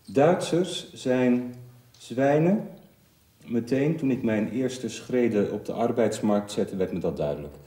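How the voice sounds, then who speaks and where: Slow, bitter working man. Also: mid-range voice, lively intonation, dark tone. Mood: bitter, solemn. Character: bitter, formally self-introducing working man. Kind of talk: stage play monologue. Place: bare hall with earth floor. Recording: echoey room, distant mic.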